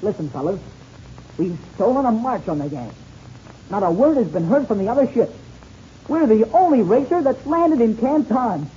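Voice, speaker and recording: A dull old radio recording with faint noise carries a male actor playing a plucky American boy aviator. The youthful voice is mellow and eager, delivered in classic 1930s serial fashion. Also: sits high, sing-song, normal speed. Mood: triumphant.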